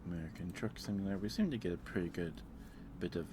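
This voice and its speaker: A man's voice: sits low, fast talker, noisy background. A man hosting a casual livestream, thinking aloud in a hesitant way. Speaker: livestream host.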